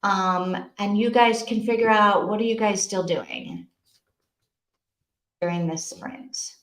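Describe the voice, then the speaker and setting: A woman's voice: mid-range voice, expressive, slow pace, fairly bright tone. Woman chatting in an echoey room. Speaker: host of a live reading-sprint stream. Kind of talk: casual chat, reading out and answering viewer comments. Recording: roomy, echoing sound.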